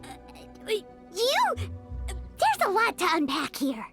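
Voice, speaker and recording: A tiny childlike game sidekick with an extremely high, swooping voice. The little creature is excitable and quick to take offense, exclaiming its way through slow, drawn-out lines. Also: female voice, soft background music, full character voice. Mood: flustered. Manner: refers to self in third person, exclaiming. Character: small childlike female floating sidekick, indignant, excitable. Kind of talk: video game character acting.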